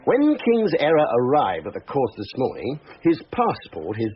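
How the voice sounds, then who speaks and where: An actor playing a precise, knowing racing brigadier in a crime drama, measured and formal as he explains. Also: medium pitch, huge pitch swings. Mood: knowing, explanatory. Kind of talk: British police drama acting. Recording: dull lo-fi audio with background hiss.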